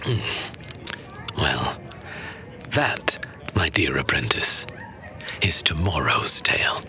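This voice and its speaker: An actor playing a wise, kindly old archivist in a fantasy audio drama, telling tales to his apprentice in a formal, unhurried way. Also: rumbling low, big pitch swings, bright, forceful tone, in a whisper, heavily acted. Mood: gently teasing. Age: older. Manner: formal, unhurried, teasing storyteller.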